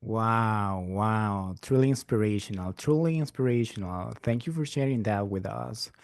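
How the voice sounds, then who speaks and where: A man's voice: lowish voice, measured pace, no room sound, clean studio sound. A man who is a resident physician hosts an interview, upbeat, often repeating his words of praise. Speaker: interviewer, program ambassador. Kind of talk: scripted-sounding interview hosting.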